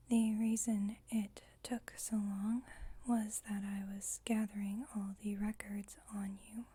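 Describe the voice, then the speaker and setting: A woman playing a composed, formal vampire coven leader in an ASMR roleplay, whispering softly in a measured, instructive, gently wry way. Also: mid-range voice. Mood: calm, formal. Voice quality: breathy, whispered, soft.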